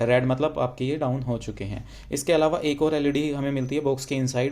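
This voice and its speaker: A man's voice: mid-range voice, darkish tone. An Indian man giving a casual, explanatory product review, mixing English phrases into his speech. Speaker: gadget reviewer.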